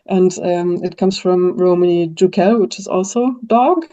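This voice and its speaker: A woman's voice: on the low side, a little slow, dark tone. A German-speaking woman with a German accent, a linguist answering interview questions in a thoughtful way, with many fillers and restarts. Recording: dull lo-fi sound.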